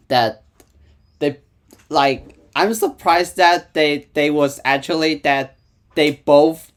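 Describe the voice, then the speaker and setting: Slow, halting Hong Kong man. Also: medium pitch. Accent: Hong Kong Cantonese. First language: Cantonese. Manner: halting, many fillers and restarts. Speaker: reaction channel host. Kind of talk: casual reaction commentary.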